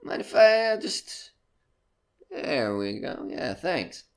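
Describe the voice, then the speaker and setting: A man's voice: a little high, sing-song, takes it slowly, vocal fry, exaggerated voice. A man playing a falsely friendly, menacing stranger in an audio drama, mock-polite and sing-song. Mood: sly, taunting. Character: menacing, falsely friendly male stranger, oily uninvited guest.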